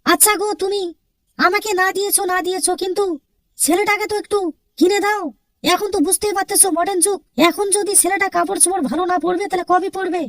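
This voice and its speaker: Female actor as complaining wife and mother, cartoon, very high-pitched, quick, pleading. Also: little pitch movement, no echo, clean studio sound. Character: long-suffering mother, complaining wife pleading for her son.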